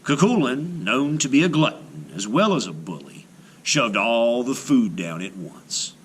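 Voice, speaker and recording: Male children's storyteller: mid-pitched, very lively narration, slight background noise. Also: measured pace. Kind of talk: expressive read-aloud folktale narration for children.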